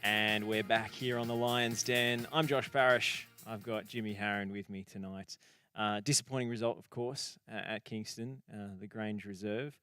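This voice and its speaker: A man's voice: lowish voice, fairly even intonation, bright, forceful tone, faint music behind. A man hosting a radio show, asking questions in a jokey, teasing way. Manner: jokey, teasing, quick to laugh along. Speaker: radio host. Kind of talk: casual radio interview. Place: radio studio.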